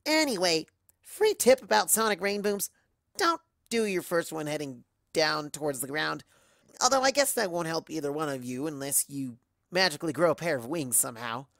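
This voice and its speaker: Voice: mid-range voice, expressive, normal speed, vocal fry, a bit bright, no echo, studio quality. A storyteller voicing a brash, boastful young female cartoon pony, cocky, casual and emphatic.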